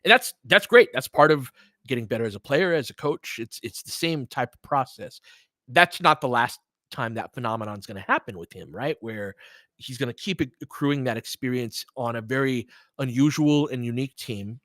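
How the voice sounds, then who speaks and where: A man's voice: medium pitch, expressive, normal speed, sharp tone, no room sound. A man hosting a sports stream, chatting casually with listeners and giving analysis and commentary in a thoughtful, somewhat hesitant, conversational way. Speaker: sports podcast host and live play-by-play commentator.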